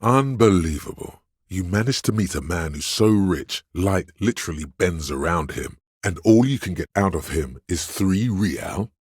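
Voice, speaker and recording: A man's voice: expressive, normal speed, hardly any pauses, a little mellow, no room sound, clean studio sound. A man voicing a video game character, the inner voice of addiction, a sly, gleeful hedonist who coaxes and goads theatrically. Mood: exasperated. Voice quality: very deep, husky. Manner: sly, insinuating, theatrical, goading.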